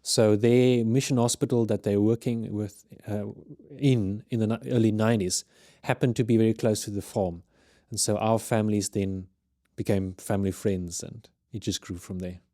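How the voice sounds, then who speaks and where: Earnest South African man, low mellow voice. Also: fairly even intonation, no room sound, studio quality. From Zimbabwe. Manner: earnest, measured, with frequent restarts. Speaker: guest, ministry leader. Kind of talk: podcast interview. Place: studio.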